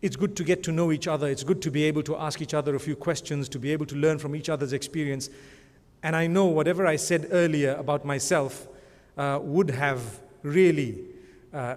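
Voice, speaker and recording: A man's voice: a little high, a little mellow. Man speaking in an echoing hall. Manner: conversational, rambling, frequent religious blessings. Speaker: award presenter. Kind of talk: speech at an awards ceremony. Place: large conference hall. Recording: long hall reverb.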